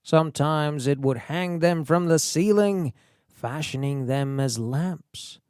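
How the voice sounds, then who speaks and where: Man narrating a fairy tale, very animated intonation. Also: a little high, no echo, studio quality. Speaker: audiobook narrator.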